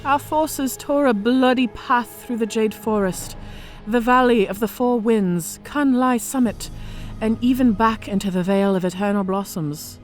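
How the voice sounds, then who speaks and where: An actress playing a battle-scarred, worldly female orc officer telling war stories in an audio drama with measured, theatrical delivery. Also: on the high side, natural voice. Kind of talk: audio drama character acting.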